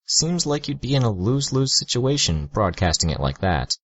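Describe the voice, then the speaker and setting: A man's voice: mid-range voice, sing-song, normal speed, a bit bright, lo-fi sound, voice pushed up. A man reading an audiobook, voicing a wary, guarded teenage girl with a dry, evasive way of talking.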